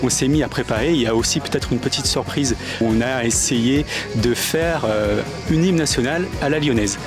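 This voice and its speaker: A man's voice: medium pitch, normal speed, over music. Hesitant man reporting from a pilgrimage. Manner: hesitant, many fillers and restarts. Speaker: on-site reporter for a youth pilgrimage. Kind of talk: on-location vlog commentary. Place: outdoors in a town square.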